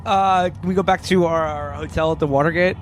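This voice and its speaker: A man's voice: fairly high pitch, fairly even intonation, fairly quick, slight background noise. A man playing along in a tabletop game, improvising comic role-play and often repeating his phrases.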